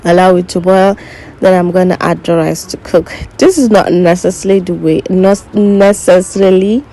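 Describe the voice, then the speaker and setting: A young woman who is not a native English speaker, narrating her cooking step by step in an unhurried way and correcting herself as she goes. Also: lowish voice, fairly even intonation, dark tone, rough recording. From Nigeria. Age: young adult. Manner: unhurried, self-correcting, instructive. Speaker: cooking vlogger.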